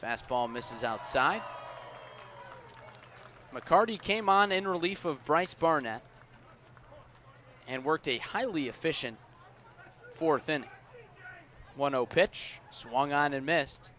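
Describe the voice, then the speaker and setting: A man calling live baseball play-by-play on the radio, with measured phrasing and rising emphasis on plays. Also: on the high side. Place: outdoors at a baseball stadium. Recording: outdoor ballpark ambience, steady background noise. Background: outdoor ambient noise.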